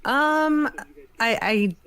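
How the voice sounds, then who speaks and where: A woman's voice: high-pitched, animated, drawn-out words, a bit bright. A woman chatting casually as a guest, talking like a gamer among friends. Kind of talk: casual chat about gaming.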